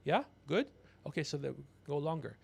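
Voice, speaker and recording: A man with a fairly high, gravelly voice hosts a loose comedic livestream. He rambles through stories and banter, blunt and profane, often needling whoever he is talking to. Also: very animated, moderate pace. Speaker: podcast livestream host.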